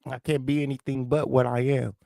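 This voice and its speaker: A man with a noticeable lisp and a St. Louis accent rants on his livestream, answering chat comments in a confrontational, profane way. His voice sits mid-range with a gravelly edge. The sound is dry and close, with no room echo. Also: medium pitch, little pitch movement, brisk, warm tone. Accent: St. Louis, American South Midwest. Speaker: livestream host.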